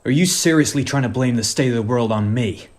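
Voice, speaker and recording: A man's voice: medium pitch, a little fast, lightly acted. A man playing a stubborn, guilt-ridden superhero father in a radio play, earnest and defensive.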